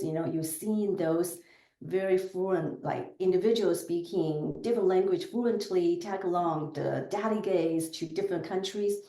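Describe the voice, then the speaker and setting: A woman's voice: lowish voice, warm tone, echoey room, low-quality recording. A woman in her forties from southern China, speaking English with a Chinese accent as an interview guest, thoughtful and full of fillers. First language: Chinese dialect. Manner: thoughtful, with frequent fillers and self-corrections. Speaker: podcast guest. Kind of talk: casual interview conversation.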